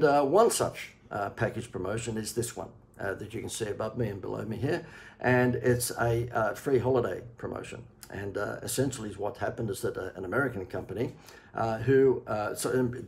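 A man in his sixties with an Australian accent, a marketing consultant giving a chatty, persuasive sales pitch from a home office, often pausing with "uh". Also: vocal fry, darkish tone. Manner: chatty, persuasive, many "uh" fillers. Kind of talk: direct-to-camera sales pitch.

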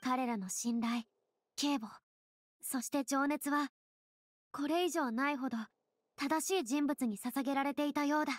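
High-voiced, theatrical young woman. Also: dry recording. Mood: tender, resolved. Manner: measured, dramatic, slightly lofty. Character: theatrical young woman, solemn, thoughtful, formally spoken. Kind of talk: Japanese video game voice acting.

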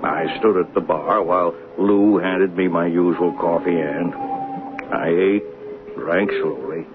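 An actor playing a plain-spoken, observant male train conductor, narrating a 1970s radio drama in a folksy, halting way. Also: sits low, steady tone, over music. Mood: reflective. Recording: noisy, narrow-band old radio broadcast.

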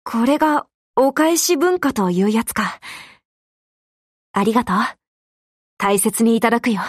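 A woman's voice: fairly high pitch, lively intonation, measured pace, dry recording, studio quality. A woman voicing a cool, composed foreign warship character in an anime game, formal and reserved. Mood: dignified.

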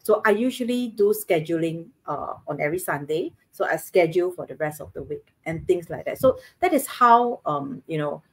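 A woman's voice: on the low side, expressive, moderate pace, hardly any pauses, breathy edge. A woman in her thirties from Singapore with a Singaporean English accent, co-hosting from home over a video call and explaining things earnestly with lots of fillers. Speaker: co-host. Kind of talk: casual live-stream chat. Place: home living room, indoors, video call. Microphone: webcam on video call.

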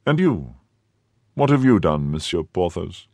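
A man's voice: low voice, sing-song, measured pace, warm tone, no echo, heavily acted. A commanding cardinal, as a man puts him on. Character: commanding male cardinal, powerful churchman.

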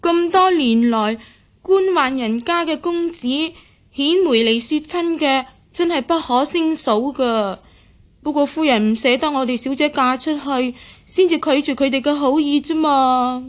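A woman acting a clever, glib young maidservant in a Cantonese radio drama, chatty and flattering. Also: high voice, measured pace. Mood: cheeky flattering.

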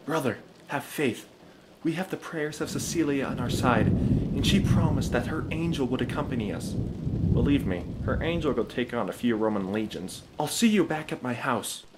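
Man acting Valerian, an animated earnest Roman convert. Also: medium pitch, normal speed, heavy background noise, natural voice. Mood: confident. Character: Valerian, zealous newly baptized Roman husband, earnest, slightly comic. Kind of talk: audio drama acting.

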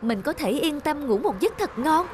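A woman's voice: sits high, animated, moderate pace, background noise. A woman voicing a sly, weary young male photographer in a cartoon, hesitant and grumbling. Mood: scheming. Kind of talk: cartoon dub.

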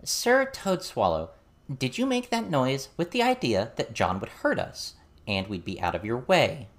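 A man reads as a curious, wry girl in a fantasy audiobook. Her voice sits well above his natural one, and she questions people directly and deliberately. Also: mid-range voice, huge pitch swings, pitched above the performer's own voice. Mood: probing. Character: inquisitive, direct young girl, probing and wry.

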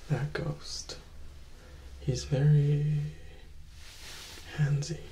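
A man in his twenties playing a charismatic, slyly menacing young vampire in a soft-spoken, whispered ASMR roleplay, teasing and hushed. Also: fairly high pitch, flat delivery, reverberant, slight background noise, rough recording. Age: 20s. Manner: slow, hushed, teasing, with lingering pauses. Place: small indoor room or hallway, close to camera.

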